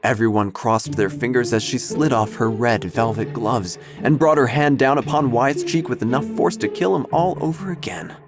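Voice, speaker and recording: An actor playing a grandiose small-town obituary writer, narrating an audio drama in a formal, measured, dramatic way. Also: male voice, medium pitch, close to an everyday voice. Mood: dramatic, wry. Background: music.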